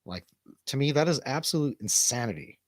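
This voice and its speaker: A man's voice: mid-range voice, lively intonation, a little fast, dry recording, clean studio sound. A man hosting a show in casual, bantering chat, rambling from one thought to the next. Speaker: radio show host and DJ.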